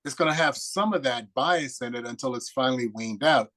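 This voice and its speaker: A man in a casual group discussion, explaining his points in a steady way. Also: mid-range voice, fairly quick.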